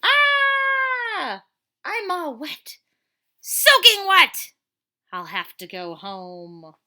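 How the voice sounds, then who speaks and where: Loud, high, bratty little girl bully. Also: very animated, full character voice. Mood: wailing, indignant. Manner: gloating, drawn-out whining shouts.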